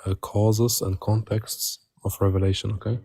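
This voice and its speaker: Dark, muffled man through a voice changer. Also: lowish voice, flat delivery, moderate pace, gravelly. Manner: terse, insistent, repeatedly pushing to move on. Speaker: debate participant. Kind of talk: live religious debate.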